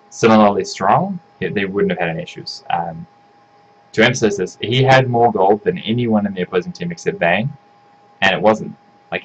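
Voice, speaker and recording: Croaky low-voiced man analyzing a game. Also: muffled recording, a little hiss or hum. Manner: rambling, self-correcting, frequent ums and "right?". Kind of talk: casual solo game analysis.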